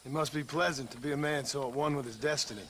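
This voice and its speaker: Man playing a gruff, forceful American outlaw. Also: mid-range voice, fairly even intonation, fast talker, a little hiss or hum, close to an everyday voice. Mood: dry, sarcastic. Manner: dry, sarcastic. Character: gruff, sardonic American outlaw turned bounty hunter. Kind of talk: video game cutscene acting.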